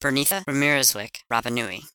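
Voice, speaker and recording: A man playing a bright, smooth-voiced customer. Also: mid-range voice, brisk, dry recording, clean studio sound, close to an everyday voice. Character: contented adult language learner giving a testimonial. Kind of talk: scripted mock testimonial.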